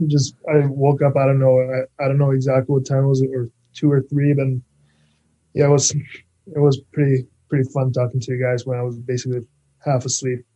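Relaxed young Czech man, flat delivery. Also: medium pitch, dull audio. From Czech Republic. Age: young adult. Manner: relaxed, halting, many fillers. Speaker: guest, pro hockey player. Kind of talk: casual interview chat.

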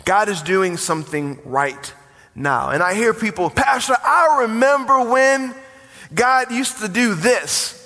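A man's voice: high voice, lively intonation, measured pace, pushed and bright. A man in his forties, a pastor preaching a sermon with rising emphasis and call-and-response. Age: 40s. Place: church sanctuary. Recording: long hall reverb.

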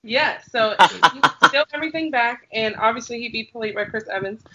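A woman co-hosting a casual podcast, giggly and playful as she pitches ideas. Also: lively intonation, normal speed, sharp tone, highs cut off. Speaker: podcast co-host. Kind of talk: casual chat.

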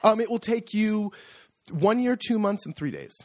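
An American man co-hosting a casual podcast chat, self-deprecating and animated, often restarting his sentences. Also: high voice, normal speed, no room sound. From United States. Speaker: podcast co-host.

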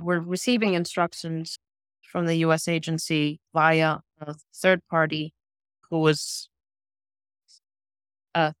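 A woman from South Africa, a human rights lawyer, answering interview questions in a measured, thoughtful way with pauses. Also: on the low side, fairly bright tone, smooth, no room sound, clean studio sound.

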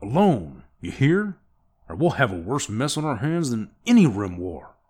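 A man's voice: medium pitch, sing-song, normal speed, a little mellow, pitched above the performer's own voice. A man voicing a tense male agent in an audiobook, snapping out urgent orders. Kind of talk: audiobook character voice.

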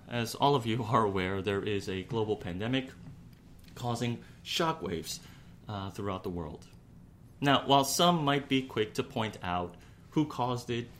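A man preaching a sermon as a pastor, speaking in a measured, earnest way with deliberate pauses and repetition. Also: medium pitch, a bit bright, slight background noise.

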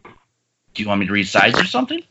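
A man playing an eager, excitable young film actor in a comedic script table read over an internet call. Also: fairly low pitch, a little fast. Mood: nervous, polite. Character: eager, foul-mouthed young male film actor in his twenties. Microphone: remote video-call microphone. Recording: muffled internet call, faint noise.

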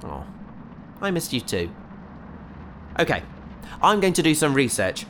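A woman playing a gentle, enthusiastic scientist aboard a spaceship in a sci-fi audio drama. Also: low voice, very animated, a little slow, noisy background. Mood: reassuring, affectionate.

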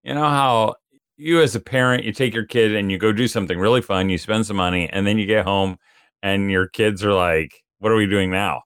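Low-voiced chatty male podcast host. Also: fairly even intonation, fairly quick, fairly bright tone, clean tone, no room sound, clean studio sound. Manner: chatty storyteller, laughs often, acts out quoted voices. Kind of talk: casual podcast chat.

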